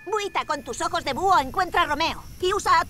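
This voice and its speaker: A woman voicing a bold, cocky young boy cat superhero in a children's cartoon dub, energetic and declamatory, with a Castilian Spanish accent. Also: very high voice, brisk, slight background noise, full character voice. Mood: determined.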